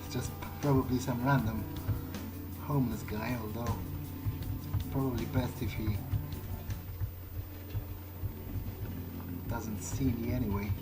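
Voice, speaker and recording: A man's voice: mid-range voice, steady tone, normal speed, natural voice, low-quality recording. Casting: man playing a polite, cautious vampire fledgling for game roleplay, mid-range everyday voice, hesitant, music behind. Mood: cautious, watchful. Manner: hesitant, thinking aloud. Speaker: gamer playing in character. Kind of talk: improvised in-character game playthrough monologue.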